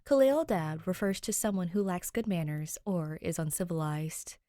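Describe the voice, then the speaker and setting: A woman reading fiction aloud as an expressive podfic narrator. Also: fairly low pitch, moderate pace, dry recording, studio quality. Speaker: podfic reader. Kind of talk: expressive scripted story narration.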